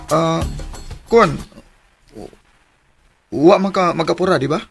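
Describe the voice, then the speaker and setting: An actor playing a casual, coaxing young suitor in a radio drama, with a Filipino accent. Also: male voice, fairly high pitch, slow, gravelly, husky, dry recording, faint music behind, exaggerated voice. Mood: wooing. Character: smooth-talking young male suitor, casual and eager.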